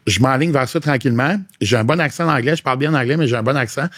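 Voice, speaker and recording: A man with a Québécois accent speaks in a mid-range voice, frank and animated, a fitness coach chatting casually as a guest. He drops English slang into his talk. The sound is dry and close. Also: mid-range voice, very fast, no room sound. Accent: Québécois French. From Quebec. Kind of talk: casual interview chat.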